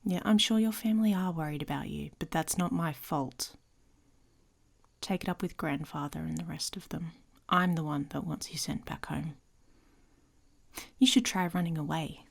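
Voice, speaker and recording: A woman playing a haughty, domineering female werewolf in an intimate ASMR roleplay, mocking and commanding, often dropping into a breathy whisper. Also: sits low, normal speed. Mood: scornful. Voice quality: husky, breathy.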